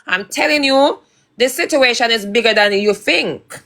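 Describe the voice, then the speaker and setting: A woman in casual livestream chat, speaking earnestly and deliberately. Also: on the high side, a bit bright. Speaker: livestream participant.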